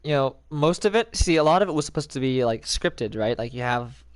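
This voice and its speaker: A man's voice: medium pitch, expressive, a little fast, no echo. A young man streamer chatting casually in a rambling, jokey way with lots of fillers. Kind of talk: casual chat.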